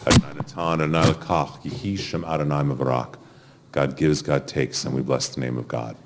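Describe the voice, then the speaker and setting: A man, a rabbi giving a funeral address in measured, deliberate short phrases. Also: very deep voice, steady tone, background noise.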